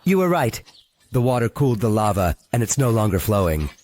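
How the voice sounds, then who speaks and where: A man narrating a scripted story for young children, expressive and full of questions to the listener. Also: on the low side, fairly quick, background noise. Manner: expressive, asks the viewer questions. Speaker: narrator of a children's toy video. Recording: music behind the voice. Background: music.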